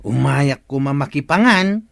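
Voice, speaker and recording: A man's voice: medium pitch, expressive, unhurried, vocal fry, a bit bright, no echo, clean studio sound. A man with an Ilocano accent playing a cheeky, freeloading village sidekick in a radio comedy drama.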